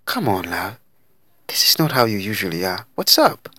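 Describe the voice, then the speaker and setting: A man's voice: big pitch swings, moderate pace, pushed and bright, dry recording, studio quality, full character voice. A man voicing a shy, anxious young woman pleading with her friend in a story. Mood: concerned. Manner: squeaky, pleading.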